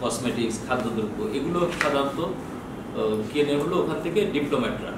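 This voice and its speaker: A man in his sixties with a Bangladeshi accent talks quickly, explaining like an informal teacher who asks and answers his own questions. He is recorded far from the microphone in a strongly echoing office with clear background noise. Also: medium pitch, lively intonation. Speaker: customs bond official giving training. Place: small office with bookshelves, indoors. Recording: very echoey, distant mic, noisy room.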